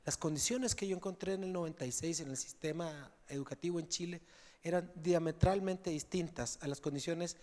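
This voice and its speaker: A man with a Mexican accent speaks at a conference in a conversational, explanatory way, often checking in with the audience. His voice sits a little high for a man, and long reverb from the large hall trails after each phrase. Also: fairly quick, a little mellow. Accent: Mexican Spanish. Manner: conversational, tags phrases with "¿sí?" and fillers. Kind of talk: conference Q&A answer.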